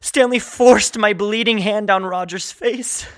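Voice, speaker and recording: An actor playing a traumatized, bitter survivor of a hostage ordeal, narrating intensely in the first person in an audio drama. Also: male voice, high-pitched, unhurried, a bit bright. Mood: haunted, intense.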